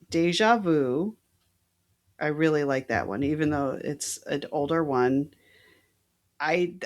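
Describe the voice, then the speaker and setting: A Mexican woman co-hosting a casual podcast, with a very low voice for a woman. She speaks slowly and with relaxed enthusiasm, often teasing herself. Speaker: podcast co-host. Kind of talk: casual chat.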